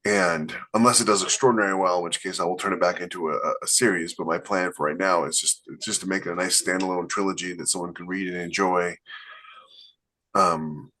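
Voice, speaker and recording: A man with a deep voice talks shop casually with his co-hosts. His tone is bright and forceful, and he rambles through many "um"s and restarts. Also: steady tone, brisk. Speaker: podcast co-host, author. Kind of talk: casual panel chat.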